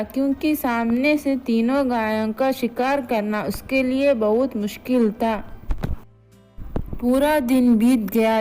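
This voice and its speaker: A woman's voice: on the high side, mellow, poor audio. A woman narrating a scripted moral story as a storyteller. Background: background music.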